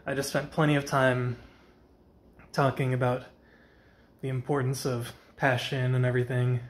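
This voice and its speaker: A man's voice: mid-range voice, little pitch movement, normal speed. Tired young man, scratchy voice. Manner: rambling, self-correcting, soft-spoken. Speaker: vlogger. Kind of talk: casual after-work vlog chat.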